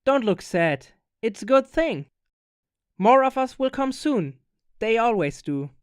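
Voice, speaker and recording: A woman's voice: on the high side, big pitch swings, unhurried, smooth, no room sound, clean studio sound. A woman voicing a cheerful, reassuring older-sister ghost in a fan comic dub. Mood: gently consoling.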